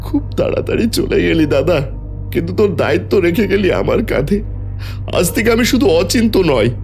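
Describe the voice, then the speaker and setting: A man's voice: sits high, expressive, normal speed, gravelly, a little mellow, soft background music, voice pushed up. A man voicing an anxious, devoted uncle who guards an orphaned child in an audio story, emotional and protective. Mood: grieving resolve.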